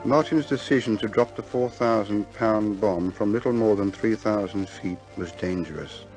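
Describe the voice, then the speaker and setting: A man reading scripted dramatic narration in a measured, grave storytelling manner. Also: on the low side, a little mellow, faint music behind, poor audio.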